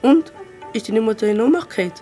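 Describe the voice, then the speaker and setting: A female performer acting an excitable, dramatic, slangy young boy in a children's radio play. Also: on the high side, quick pace, soft background music, natural voice. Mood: shocked, curious. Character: excitable young boy, the girl's best friend.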